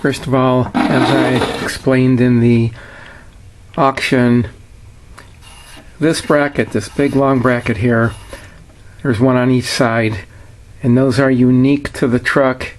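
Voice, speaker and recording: A man's voice: mid-range voice, level tone, slow, pauses often, slight background noise. A man giving a casual, plainspoken product walkthrough in a matter-of-fact way, like a seller showing an item. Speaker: seller demonstrating a vintage radio.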